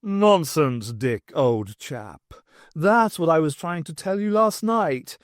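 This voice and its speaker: A man voicing a jaunty, flattering pet cat in an audiobook, with theatrical, old-fashioned pantomime phrasing. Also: a little high, slow pace, dry recording, studio quality. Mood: hearty, boastful.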